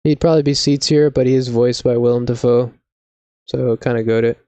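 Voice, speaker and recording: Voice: medium pitch, steady tone, fairly quick, mellow, no room sound. A laid-back, slangy live streamer of unclear sex, chatting casually with mock seriousness. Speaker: video game live streamer.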